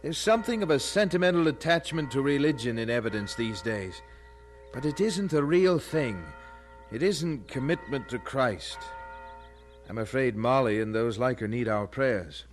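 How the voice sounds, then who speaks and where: A man's voice: medium pitch, animated, normal speed, soft background music, lightly acted. A man playing an earnest, devout young preacher in an audio drama, with formal, humble speech. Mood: earnest, grave.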